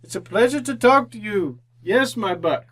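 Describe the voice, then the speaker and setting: An actor playing an old repentant miser in a radio drama, theatrical and fervent. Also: male voice, high-pitched, animated, moderate pace, a bit bright. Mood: giddy delight. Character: old repentant miser, fearful then overjoyed.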